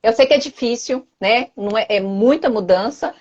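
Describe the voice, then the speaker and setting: A woman's voice: fairly high pitch, normal speed, a little mellow, lo-fi sound. A woman in her thirties with a Brazilian Portuguese accent, chatting as an interview guest, often repeating and restarting phrases and adding tag questions. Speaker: interview guest, former student. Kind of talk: casual conversational interview.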